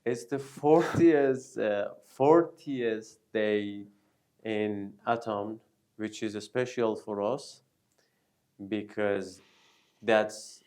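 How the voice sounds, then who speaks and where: A man with a Persian accent, a native Persian speaker co-hosting a casual chat, slow and hesitant with many fillers. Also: lowish voice, darkish tone. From Iran. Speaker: co-host.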